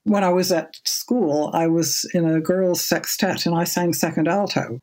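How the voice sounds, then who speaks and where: A woman in her seventies with a low speaking voice, speaking as a guest on a podcast. She talks in a measured, thoughtful way and often repeats a word as she restarts a sentence. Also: darkish tone. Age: 70s. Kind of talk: casual podcast interview.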